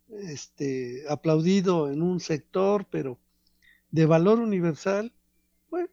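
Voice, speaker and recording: Man, professorial radio commentator, slow deliberate delivery with pauses. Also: high voice, vocal fry, dark tone, no echo, highs cut off. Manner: measured, professorial, pauses between phrases. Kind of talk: reflective radio talk on art.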